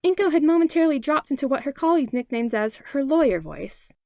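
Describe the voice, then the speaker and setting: A woman narrating a fanfiction audiobook in an expressive read-aloud manner on a close mic. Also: high voice, fast talker, no echo. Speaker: fanfiction podfic reader. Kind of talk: storybook narration.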